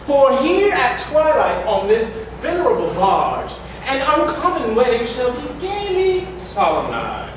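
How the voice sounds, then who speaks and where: In a very echoey, noisy hall, a grand deity of love played by a stage performer declaims florid verse. The delivery is slow, ceremonious and festive, swooping widely in pitch like a herald opening a rite. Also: wildly swooping pitch, distant mic, exaggerated voice. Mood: grand, playful. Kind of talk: theatrical verse recitation at a staged reading. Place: community hall stage before an audience.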